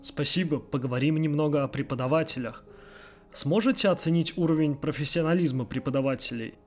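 Higher-voiced male interviewer. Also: brisk, a little mellow, soft background music. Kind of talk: conversational interview questions.

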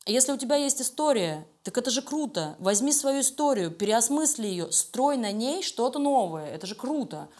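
Higher-voiced woman, emphatic and colloquial. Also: sing-song, normal speed, hardly any pauses, a little mellow. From Russia. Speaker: animation studio creative director, interview guest. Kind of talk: conversational interview answers.

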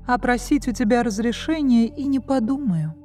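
A woman's voice: a little high, lively intonation, normal speed, warm tone, voice pushed up, natural voice. A woman voicing a bold young noble maiden in a fairy tale, with impudent retorts. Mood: defiant. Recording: music behind the voice.

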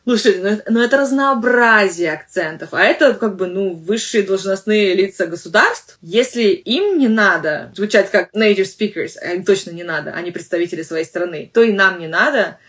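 A woman's voice: medium pitch, animated, normal speed, few pauses, a bit bright. A woman language teacher hosting a podcast, talking in a chatty, loose way with many filler words and restarts. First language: Russian. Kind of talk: casual podcast monologue.

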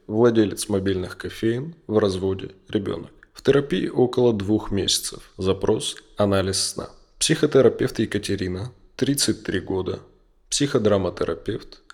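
A man narrates an audio play from a script. His voice is somewhat low, and he delivers short, list-like phrases one after another. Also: a little mellow.

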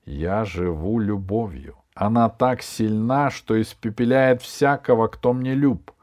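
A man voicing a proud, passionate female djinn in a storybook tale, calmly defiant. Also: fairly low pitch, a little slow, lightly acted. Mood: calm defiance. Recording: music behind the reading.

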